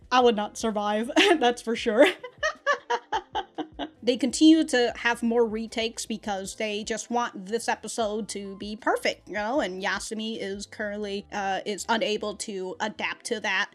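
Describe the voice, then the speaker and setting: A woman's voice: mid-range voice, steady tone, a little slow. A young woman reviewing an anime episode in casual talk, ranting and swearing, with many fillers and laughs, close to the mic indoors. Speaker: anime reaction YouTuber. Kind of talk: casual reaction commentary. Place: small room indoors with green-screen backdrop.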